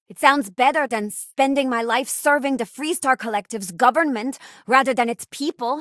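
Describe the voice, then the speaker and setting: An actress playing an earnest, loyal young woman who crews and guns on a spaceship in a video game, speaking conversationally and clearly. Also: medium pitch, a bit bright, no room sound, clean studio sound. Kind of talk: video game companion voice lines.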